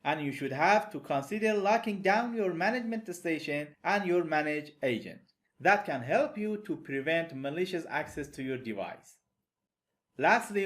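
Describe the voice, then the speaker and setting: A man with a non-native English accent, giving a recorded networking lecture over slides in a methodical, explanatory way. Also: on the high side. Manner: methodical, plain explanatory delivery. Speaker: networking instructor.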